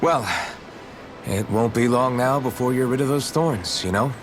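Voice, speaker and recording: A man acting a kind, idealistic young hero in a video game cutscene, speaking gently, sincerely and thoughtfully. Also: medium pitch, normal speed, over music, natural voice. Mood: encouraging.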